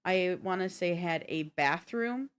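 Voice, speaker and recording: Female co-host, slightly low pitch, loose conversational gaming-news chat, works things out as she speaks. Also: a little slow, clear, resonant tone. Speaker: podcast and live stream co-host.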